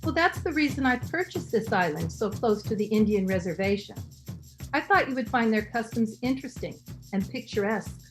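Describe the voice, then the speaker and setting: Woman acting frail devoted wife, high gentle voice. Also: expressive, fairly quick, soft background music. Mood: wounded pleading. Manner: gentle, earnest, pleading. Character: frail, devoted, anxiously pleading invalid wife. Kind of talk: 1930s radio drama acting.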